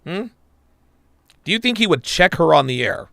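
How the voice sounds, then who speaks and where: A man's voice: sits high, big pitch swings, moderate pace, vocal fry, bright, forceful tone, no echo, studio quality. A man hosting a live reaction stream, blunt and crude, swearing and talking to his chat viewers. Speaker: podcast host reacting to a clip.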